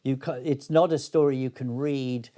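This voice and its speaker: A man's voice: mid-range voice, steady tone, moderate pace, warm tone. An older man speaking reflectively as an interview guest, hesitant and thoughtful but enthusiastic. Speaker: interview guest, playwright and actor.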